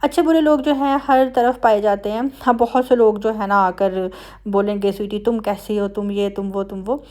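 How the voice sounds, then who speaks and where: A woman's voice: medium pitch, steady tone, moderate pace, darkish tone. A woman with a Pakistani Punjabi accent talking in a chatty, conversational way, as in a casual family vlog voice-over. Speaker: family vlogger.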